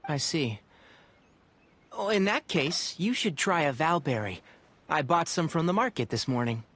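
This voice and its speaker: A man voicing a gentle, considerate young forest ranger in an anime-style video game, polite and articulate with a wry touch. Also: fairly high pitch, expressive, a little slow. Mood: caring.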